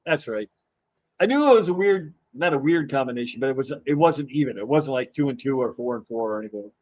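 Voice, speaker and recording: A man hosting a live stream, chatting casually and greeting viewers in a rambling, halting way while his voice is going hoarse. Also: medium pitch, animated, darkish tone, muffled recording. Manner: rambling, halting, with many fillers and restarts. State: losing his voice. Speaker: livestream host.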